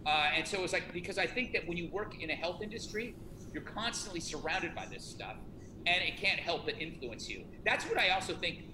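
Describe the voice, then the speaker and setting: A man's voice: high voice, expressive, brisk. A middle-aged man, an author talking about his self-help book in a casual, rambling, self-deprecating way with many restarts. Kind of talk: casual conversation. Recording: echoey room with noticeable noise.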